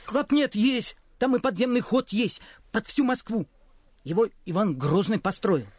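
An actor in a radio play voicing a boastful street-trader boy who tells tall tales in an excited, cheeky way. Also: mid-range voice, a little slow, no echo, clean studio sound. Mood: spooky insistence. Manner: excited, bragging, cheeky storytelling. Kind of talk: Russian radio play acting.

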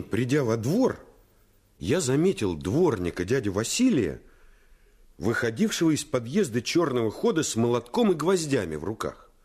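A man playing a reflective storyteller who recalls his boyhood, narrating a radio play in measured, expressive phrases. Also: mid-range voice, slightly rough, full character voice. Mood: intent.

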